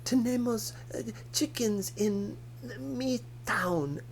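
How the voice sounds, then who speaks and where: Man voicing a high-pitched halting little boy. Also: a little hiss or hum. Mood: halting, earnest. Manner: halting, mixing Spanish and English. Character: shy six-year-old Mexican boy, earnest and impatient. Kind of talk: audiobook character voice.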